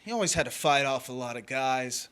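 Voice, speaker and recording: A man's voice: mid-range voice, animated, a little fast, a bit bright, smooth, natural voice. An actor playing a cocky drifter in a stage drama, needling and flirting with a married woman. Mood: flirtatious. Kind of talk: stage drama performed online, with first-person narration.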